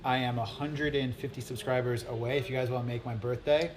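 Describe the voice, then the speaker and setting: A man's voice: medium pitch, steady tone, brisk. A man who teaches English, a guest on a vlog, talking eagerly and promoting his own channel. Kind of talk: casual chat.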